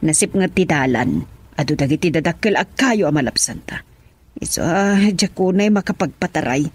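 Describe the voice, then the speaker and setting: A woman's voice: fairly low pitch, animated, normal speed, husky. An actress playing a cautioning middle-aged mother in a radio drama, with a Filipino accent. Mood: wary, cautioning. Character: cautioning older matron, a wary mother. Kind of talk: Ilocano radio drama acting.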